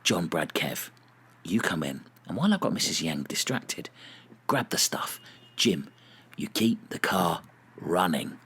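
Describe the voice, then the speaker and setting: An actor playing a self-serious, easily exasperated male robbery gang leader in a foul-mouthed audio comedy, deliberate and deadpan. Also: low-pitched, husky, full character voice. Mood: conspiratorial.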